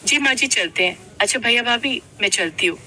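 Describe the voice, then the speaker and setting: A woman voicing a gentle, dutiful young stepsister in a cartoon family story. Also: fairly high pitch, lively intonation, brisk, background noise, close to an everyday voice. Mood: meek.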